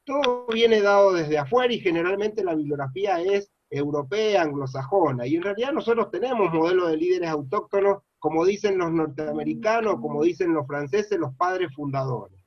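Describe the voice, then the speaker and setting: A man's voice: sits high, normal speed, few pauses, dry recording. A middle-aged man from Mendoza with an Argentine accent, giving an earnest, rambling public lecture full of "eh" and "este" fillers. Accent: Argentine Spanish. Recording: dull lo-fi dry sound.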